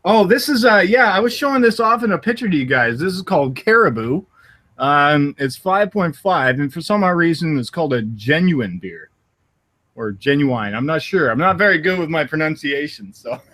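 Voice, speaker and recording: A man's voice: on the high side, animated, moderate pace, bright, forceful tone. A Canadian man hosting a podcast over a video call, laughing often and sometimes mumbling and restarting his words. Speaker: podcast host.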